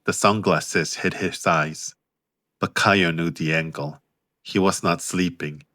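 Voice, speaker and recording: Low-voiced man narrating an audiobook, steady and crisp in tone. Soft music underneath. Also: no room sound, clean studio sound. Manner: measured, steady. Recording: faint music behind.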